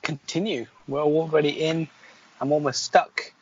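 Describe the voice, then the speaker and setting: A man's voice: a little high, fairly even intonation, normal speed, a little hiss or hum. Man bantering wryly, slightly muffled. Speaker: player and co-host. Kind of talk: casual banter during a game.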